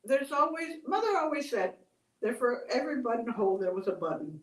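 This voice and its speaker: A woman's voice: on the high side, expressive, a little fast. Older woman storyteller in an echoey room. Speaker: storyteller, host. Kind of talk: oral storytelling and reflective chat. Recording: echoey room, distant mic.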